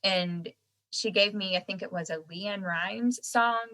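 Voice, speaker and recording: A young woman with a Southern American accent, chatting as a guest. Her voice is bright and clear, and she tells her stories with animated energy and frequent laughter. She sits at a middle pitch. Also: slow pace. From Southern United States. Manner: animated storyteller who laughs often. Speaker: guest singer-songwriter. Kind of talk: casual interview chat.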